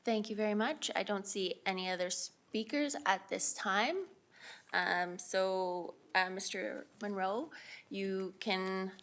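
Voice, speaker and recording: Woman mayor, chairs a council hearing, slow and measured. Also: mid-range voice, fairly bright tone. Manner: measured, procedural. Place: council chamber.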